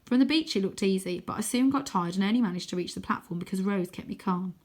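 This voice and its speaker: A woman reading a children's adventure story aloud as expressive first-person narration. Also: medium pitch, fast. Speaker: storybook reader.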